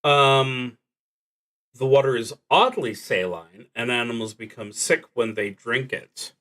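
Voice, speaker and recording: A man streaming a solo tabletop roleplaying game, thinking aloud in a halting, deliberate way that trails off between thoughts. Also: medium pitch, fairly bright tone.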